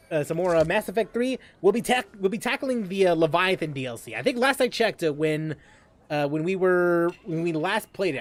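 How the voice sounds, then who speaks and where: A man hosting a casual gaming livestream, chatting in a halting way and often repeating and restarting his phrases. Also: a little high. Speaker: livestream host, gamer.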